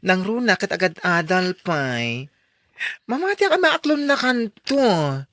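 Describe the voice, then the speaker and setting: Chatty sympathetic young pal for radio drama, lively intonation, expressive, tight dry recording. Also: female voice, on the low side, dry recording, full character voice. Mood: reassuring. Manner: expressive, drawn-out exclamations.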